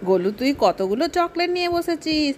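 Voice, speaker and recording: A woman's voice: high-pitched, big pitch swings, a little fast, a little mellow, a little hiss or hum, pitched above the performer's own voice. A woman voicing a practical village mother doll who instructs and cautions children in a children's story. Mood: cautioning.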